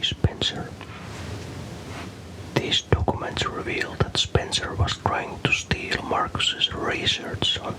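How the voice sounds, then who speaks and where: A man from Finland narrates a scripted ASMR story in a soft, breathy whisper, calm and deliberate. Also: very high voice, low-quality recording.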